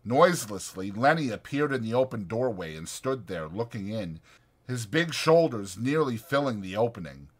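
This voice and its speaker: A man's voice: lowish voice, very animated, normal speed, breathy edge. A man reading a novel aloud as scripted, dramatized audiobook narration.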